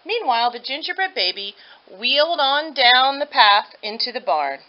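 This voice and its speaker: A woman reading a children's story aloud with expressive narration. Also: sits high, moderate pace. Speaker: storybook reader. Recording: dull lo-fi audio.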